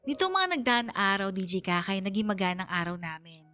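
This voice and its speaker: A devoted teenage daughter, tells her own story in a mid-pitched voice. The narration is earnest and heartfelt with dramatic pauses, over a musical bed in radio drama style. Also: lively intonation, sharp tone. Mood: reflective. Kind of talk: first-person radio drama letter narration.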